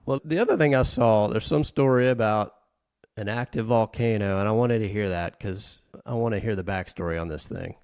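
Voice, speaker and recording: A male interviewer with a lowish, gravelly yet resonant voice. He asks his questions haltingly and restarts his words. His pitch sits below most men's. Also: a little mellow. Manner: hesitant, repeats words as he asks. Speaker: podcast host.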